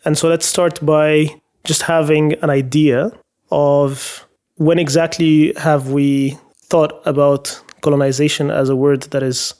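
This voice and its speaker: A man from Egypt hosting a podcast round-table, speaking thoughtfully with some fillers and pauses. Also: on the high side, a little mellow. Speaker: podcast host. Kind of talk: casual round-table chat.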